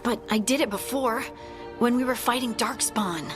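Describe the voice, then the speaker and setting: A woman's voice: fairly high pitch, moderate pace, lightly acted. A woman playing an earnest, awkward, cheerful young scout with magic in a video game, bashful and a little hesitant. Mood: frustrated, focused. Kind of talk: naturalistic video game voice acting. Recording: game music behind the voice. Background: game music.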